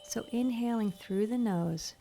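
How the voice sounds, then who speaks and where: A woman leading a guided meditation in a soothing, gentle, instructional manner. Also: on the high side, unhurried, low-quality recording. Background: soft meditation music with chimes.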